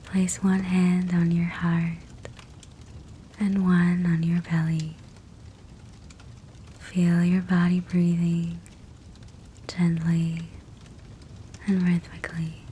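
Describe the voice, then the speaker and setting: A woman guiding a sleep meditation in a soft, breathy whisper, gentle and soothing, with measured phrasing and long pauses. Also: lowish voice. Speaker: sleep hypnosis meditation guide. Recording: steady fireplace crackle behind voice. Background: fireplace sounds.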